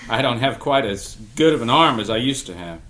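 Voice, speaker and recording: A middle-aged man playing a protective, firm and caring mentor in an audio drama read-through, with an emphatic, deliberate delivery. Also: mid-range voice, animated, a bit bright, lightly acted. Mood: casual, fatherly. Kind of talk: audio drama cast reading.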